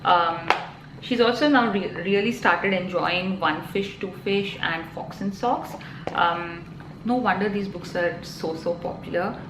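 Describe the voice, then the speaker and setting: A woman's voice: on the low side, unhurried, background noise. A woman in her thirties with an Indian accent, a Telugu native speaker, chatting casually to camera as a parenting vlogger, full of ums and you-knows. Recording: echoey room, faint ambience.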